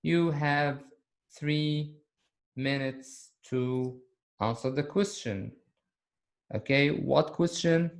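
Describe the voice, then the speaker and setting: Male English teacher, very slow and deliberate, slightly higher voice, repeats words for learners. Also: creaky. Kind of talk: recorded video lesson.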